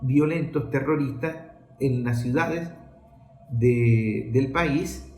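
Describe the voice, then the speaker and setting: A man's voice: mid-range voice, slow, a little mellow. A man with a Venezuelan accent giving political commentary in an emphatic, rhetorical way, posing questions and answering them. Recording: roomy, echoing sound.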